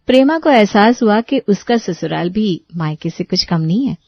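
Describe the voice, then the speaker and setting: Woman telling a scripted kids moral story, highish voice, no room sound, bit muffled. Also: expressive, moderate pace, dry recording.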